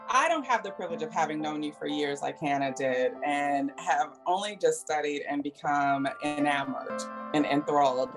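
A woman co-hosting a podcast interview, speaking in a reflective, earnest, conversational way. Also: deep voice.

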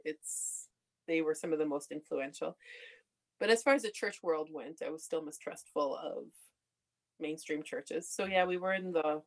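A young adult woman from Canada, telling her story candidly in a relaxed conversation. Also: low voice, moderate pace. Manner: candid storytelling, laughs often. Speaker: podcast guest. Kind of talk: casual conversation.

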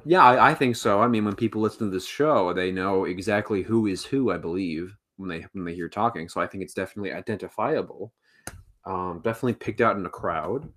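Low-voiced man asking podcast questions. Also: brisk, a bit bright. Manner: prompting follow-up questions, frequent short agreements. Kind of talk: casual podcast interview.

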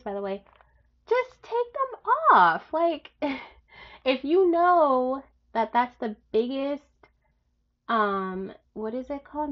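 A young woman gives frank dating advice in a casual monologue, her nose audibly stuffed up from a cold. She talks bluntly with slang and swearing and jumps suddenly into high, loud bursts. Her voice sits at a middle pitch, and the recording is slightly dull and lo-fi. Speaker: vlogger giving dating advice.

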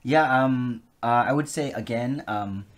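A man's voice: medium pitch, a little slow. A man, a guest on an interview show, talking casually and with enthusiasm, laughing easily. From United States. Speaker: guest, polyglot.

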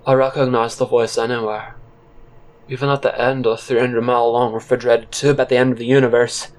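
A man's voice: medium pitch, flat delivery, fairly quick. Wry male audio drama narrator. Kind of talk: scripted first-person audio drama narration.

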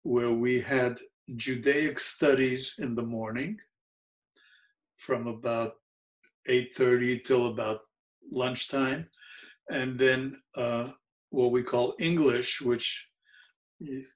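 A man in his seventies with a New York accent and Yiddish as his first language, recalling his childhood in an oral history interview, mellow and halting with frequent fillers. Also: mid-range voice, fairly even intonation. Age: 70s.

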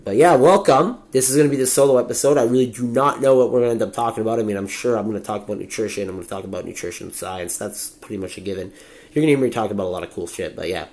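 A man in his twenties from Montreal, hosting a solo podcast in a candid, rambling way, swearing freely and filling pauses, a little sniffly with a cold. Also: fairly low pitch, a little mellow. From Montreal, Canada. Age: 20s. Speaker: podcast host. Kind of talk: casual solo podcast monologue.

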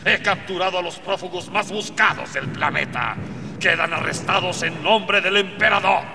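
A man's voice: high-pitched, moderate pace, gravelly. A man playing a pompous, villainous imperial colonel in a comic radio play, theatrical and strained. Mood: gloating. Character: gloating male imperial colonel, pompous villain, easily alarmed. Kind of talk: comic radio drama acting. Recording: reverberant hall with music underneath.

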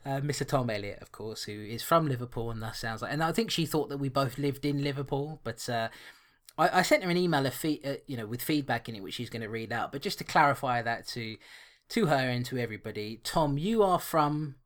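A man's voice: mid-range voice, fairly quick. A man from co-hosting a podcast, chatting casually, joking and often laughing as he reads out a listener's email. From England, London. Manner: laughing, animated, self-deprecating banter. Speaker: podcast co-host.

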